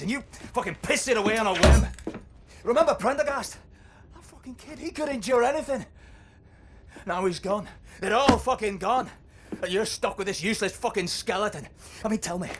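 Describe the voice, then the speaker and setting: An actor playing a weary, bitter, middle-aged soldier, sweary and emotional in a game cutscene. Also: male voice, sits high, very animated, brisk. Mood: bitter anger. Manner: sweary, emotional, swinging from muttering to outbursts. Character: bitter, weary, aging male resistance soldier. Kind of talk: dramatic game cutscene acting. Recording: noisy ambience behind the voice.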